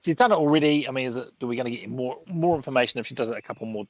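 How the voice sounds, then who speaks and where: Tentative male player, mid-pitched voice. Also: rapid-fire, dry recording. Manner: tentative, searching for words. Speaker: tabletop game player. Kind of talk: casual game chat.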